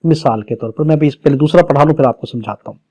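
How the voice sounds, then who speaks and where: A man's voice: fairly high pitch, fast, warm tone, lo-fi sound. A man with a Pakistani accent giving a didactic exam-prep law lecture, reading phrase by phrase, then explaining and posing questions. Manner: didactic, reads phrase by phrase, poses questions and answers them.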